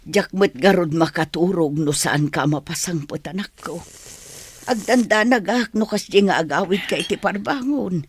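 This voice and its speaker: A woman's voice: lowish voice, moderate pace, breathy edge. A woman with a northern Philippine accent playing a worried, fretful older village mother in a radio comedy drama.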